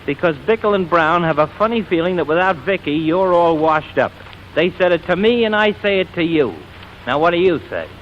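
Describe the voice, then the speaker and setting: An actor playing a pushy, wheedling show-business agent who keeps on cajoling, in 1940s radio drama style. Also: male voice, fairly high pitch, expressive, brisk, lightly acted. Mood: persuasive, insistent. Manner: wheedling, persistent. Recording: dull, noisy old radio recording.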